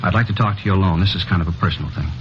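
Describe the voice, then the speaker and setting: A man's voice: low voice, a little hiss or hum, close to an everyday voice. An actor playing a nervous, evasive young man who has come to confess a murder in a 1950s radio drama. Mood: uneasy. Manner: halting, insistent, repeats himself. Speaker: murder suspect. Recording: dull old radio broadcast recording.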